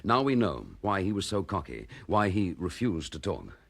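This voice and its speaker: A man's voice: low voice, big pitch swings, normal speed, vocal fry, slight background noise, heavily acted. An actor playing a determined, earnest detective chief inspector who presses his case bluntly. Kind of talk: 1960s radio drama acting.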